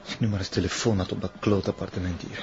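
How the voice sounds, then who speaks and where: Low, fast-talking exasperated Flemish man. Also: flat delivery. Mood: frustrated. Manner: coarse, blunt, agitated. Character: exasperated, anxious male accomplice, plain-spoken and scornful. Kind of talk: television crime drama acting. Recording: muffled lo-fi sound with background noise.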